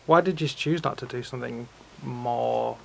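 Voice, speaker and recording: Man, creaky medium-pitched voice, teacher's feedback, probing, conversational, faint room noise. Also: normal speed. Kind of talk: informal questioning and critique.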